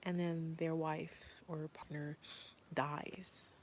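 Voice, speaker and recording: Woman from Zimbabwe, deep-ish voice, casual parent vlogger, earnest and rambling. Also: little pitch movement, slow pace, a little hiss or hum. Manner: earnest, rambling with many fillers. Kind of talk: casual talk to camera.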